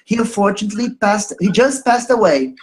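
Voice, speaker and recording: A man in his thirties from Brazil with a Brazilian Portuguese accent, speaking English as a second language on a video call, halting and hesitant, with many fillers. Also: sits high, expressive. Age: 30s. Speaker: caller. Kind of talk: casual chat.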